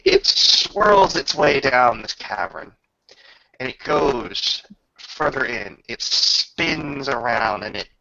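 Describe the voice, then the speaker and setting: A man improvising dramatic, descriptive narration as game master of a tabletop roleplaying game, pausing between phrases. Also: mid-range voice, huge pitch swings, vocal fry, bright, forceful tone, dry recording, lo-fi sound.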